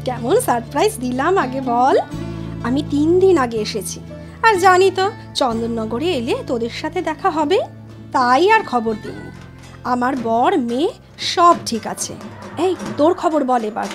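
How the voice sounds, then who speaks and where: A woman's voice: high-pitched, expressive, normal speed, soft background music. A woman playing a playful, teasing expatriate reunited with old friends in an audio drama, girlish and coaxing. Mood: teasing, cheerful. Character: playful Bengali woman back from London meeting old college friends. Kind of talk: Bengali audio drama acting.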